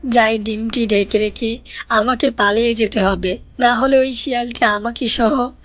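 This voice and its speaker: Woman playing a sorrowful, higher-pitched cartoon duck. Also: steady tone, unhurried, a bit bright, a little hiss or hum. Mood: grieving. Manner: plaintive, pleading. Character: sorrowful, pleading duck, lonely and frightened. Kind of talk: children's cartoon story voice acting.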